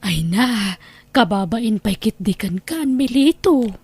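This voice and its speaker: A woman's voice: fairly high pitch, animated, slow, darkish tone, slightly rough, exaggerated voice. A woman playing a humble, grateful, weary woman in need in an audio drama, drawing out her words.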